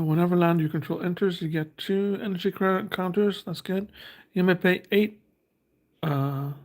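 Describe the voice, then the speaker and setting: A man's voice: a little high, a little mellow. A man, hosting a casual unboxing and reading card text aloud in a hesitant, thinking-aloud way. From Norway. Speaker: host.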